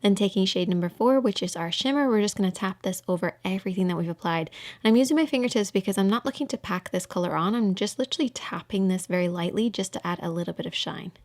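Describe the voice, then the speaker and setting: Female tutorial presenter, mid-pitched, informal step-by-step voice-over for beauty content. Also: fast talker, a little mellow.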